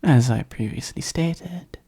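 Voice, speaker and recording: A performer voicing a teasing, possessive demon in an ASMR roleplay, in a breathy, husky whisper with a smug, dominant, drawn-out delivery. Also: mid-range voice, warm tone. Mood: coaxing, possessive. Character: teasing, possessive demon luring a summoned human into a contract. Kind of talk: ASMR roleplay, whispered and slow dramatic monologue.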